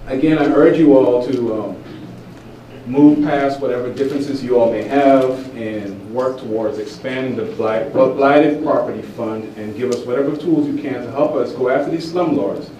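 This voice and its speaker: A man's voice: medium pitch, warm tone, lo-fi sound, slight background noise. A man addressing a council meeting as a board member, earnest and urging. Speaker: code enforcement board member. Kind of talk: public comment at a council meeting. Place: large council chamber. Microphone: podium microphone heard from a distance. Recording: echoey chamber, mic at a distance.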